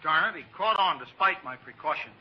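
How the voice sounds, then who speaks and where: Man playing a high-voiced, tactless radio friend. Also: expressive, a little fast, lightly acted. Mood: blithe. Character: tactless male friend, morbidly cheerful and polite. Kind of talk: 1940s radio comedy acting. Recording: very noisy, muffled old radio recording.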